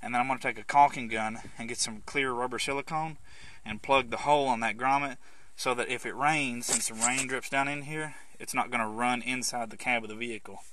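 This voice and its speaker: A man's voice: medium pitch, steady tone, brisk, pushed and bright. A man with a mid-range voice talks through a practical setup like a hobbyist showing his work. His delivery is informal and conversational, with a slightly rambling way of explaining each step. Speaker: hobbyist presenter. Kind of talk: informal hands-on walkthrough. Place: in and around a parked car.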